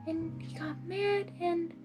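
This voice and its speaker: A woman voicing a timid, anxious young female pegasus pony in an expressive storybook reading, hesitant and pleading. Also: very high-pitched, slow, over music, voice pushed up. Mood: timid, unsure.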